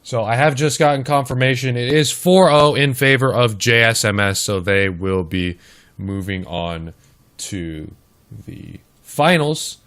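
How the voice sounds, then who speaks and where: A man hosting a live esports stream, casting matches in casual chat and talking straight to the viewers, his voice a little hoarse. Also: mid-range voice, measured pace, fairly bright tone. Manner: chatty, talks straight to the chat. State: slightly hoarse. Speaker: esports caster and stream host.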